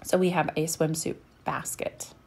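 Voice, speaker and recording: A woman's voice: deep voice, flat delivery, measured pace, gravelly, darkish tone, slightly rough. A woman in her thirties chatting casually over her home cleaning video, rambling with lots of ums. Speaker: cleaning vlogger. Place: home bedroom.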